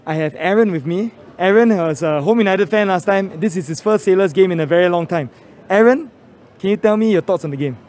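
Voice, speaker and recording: A man's voice: sits high, brisk, darkish tone. A young Singaporean man presenting casual post-match fan interviews and commentary, speaking into a handheld phone outdoors at a stadium entrance. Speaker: fan channel host and interviewer. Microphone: handheld phone held near mouth.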